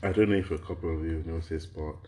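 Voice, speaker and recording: A man with a very deep voice explains a topic to listeners, posing questions and answering them himself. His voice is slightly hoarse from a cold, and he strings his points into lists punctuated with a questioning "right?". He is from Nigeria. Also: fairly even intonation, quick pace, mellow. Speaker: lecturer on intellectual property. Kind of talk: explanatory lecture to an audience.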